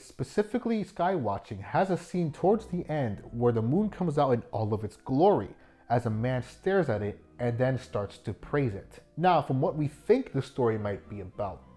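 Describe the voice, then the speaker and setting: A man narrating a video essay in an informal, jokey way, with animated asides and some swearing. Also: mid-range voice. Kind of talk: scripted video essay commentary with joking asides. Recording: music bed behind the voice. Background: background music.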